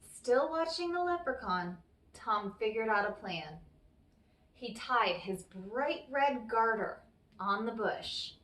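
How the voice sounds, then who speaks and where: A woman narrates a children's tale at an unhurried pace with very animated intonation. Her expressive storytelling is recorded in a roomy space, away from the mic. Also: fairly high pitch. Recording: roomy, echoey, distant microphone.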